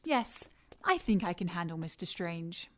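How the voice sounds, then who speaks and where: An actress playing a scornful, scheming immortal villainess who talks with mocking condescension in an audio drama. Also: female voice, on the low side, big pitch swings, measured pace. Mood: commanding.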